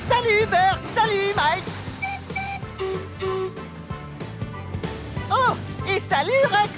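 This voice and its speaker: A woman's voice: extremely high pitch, measured pace. A woman voicing a cheerful, friendly young tank engine with an eager manner in a cartoon dub. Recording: music behind the voice.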